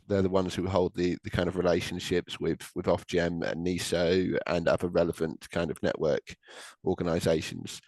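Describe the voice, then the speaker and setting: A young man from Wales, speaking as a government official on a webinar panel. His voice sits very low, and the sound is close and dry with no room around it. He talks in a careful, hedging way, full of fillers and restarts. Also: no echo, poor audio.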